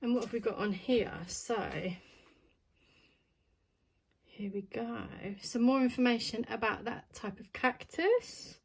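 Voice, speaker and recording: A woman's voice: a little high, slow, creaky, pauses often, slightly rough. A woman chatting casually while unboxing a plant subscription box, thinking aloud with plenty of ums. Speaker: plant vlogger.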